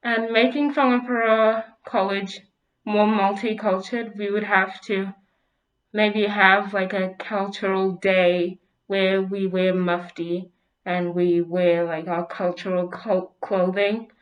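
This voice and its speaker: A woman's voice: medium pitch, little pitch movement, lo-fi sound. A teenage girl from Zimbabwe, raised in South Africa, answering interview questions at an easy pace with some fillers. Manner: unhurried, hesitant with fillers. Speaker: student interviewee.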